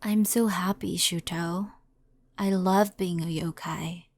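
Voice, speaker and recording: A woman voicing a gentle, loyal, slightly dazed resurrected yokai in an ASMR roleplay, soft and halting in delivery. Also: lowish voice, fairly even intonation, no echo. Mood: dreamy, content. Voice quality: soft, creaky.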